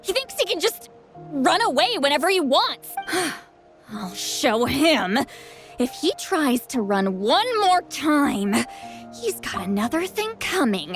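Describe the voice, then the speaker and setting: A woman's voice: sits high, big pitch swings, slow pace, faint music behind, heavily acted. A woman voicing a feisty, proud, youthful-sounding grandmother shaman in a video game, with a huffy, dramatic manner. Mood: exasperated.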